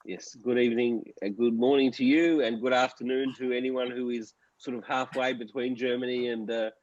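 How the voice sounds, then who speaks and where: A man's voice: medium pitch, level tone, moderate pace, a little mellow, no echo. A man hosting an online panel, polite and hesitant, with many ums and restarts. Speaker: host, moderator. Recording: dry, dull lo-fi sound.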